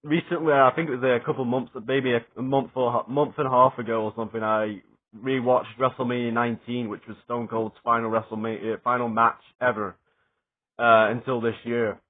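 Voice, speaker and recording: A young adult man giving a rambling, excited spoken review, swearing often and stumbling over fillers and restarts. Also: medium pitch, brisk. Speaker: wrestling reviewer. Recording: tinny phone-like sound.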